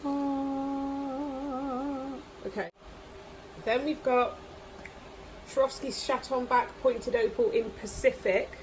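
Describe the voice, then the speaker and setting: A woman's voice: high voice, steady tone, takes it slowly, long pauses, noisy background. A woman with hay fever, chatting casually and gushing as she unboxes nail products at home. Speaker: nail vlogger. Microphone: camera mic, voice off camera.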